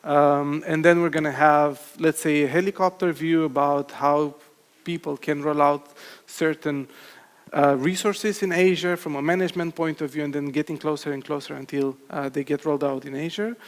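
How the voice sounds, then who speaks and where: A man's voice: fairly high pitch, fairly even intonation, moderate pace. Romanian-born man presenting in a reverberant hall. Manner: hesitant, many filler sounds. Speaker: cloud solution architect, conference speaker. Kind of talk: conference presentation. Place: conference hall with audience.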